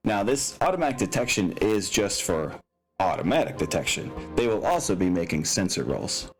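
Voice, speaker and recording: A man's voice: sits low, big pitch swings, moderate pace. A man speaking casually as a game master, thinking aloud and explaining rules with some hesitation. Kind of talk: narrating a tabletop mech game. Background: background music.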